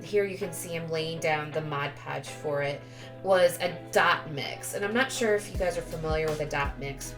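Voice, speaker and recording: A woman giving a casual, chatty craft tutorial voice-over, sounding sniffly with a cold and often correcting herself. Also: on the low side. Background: background music.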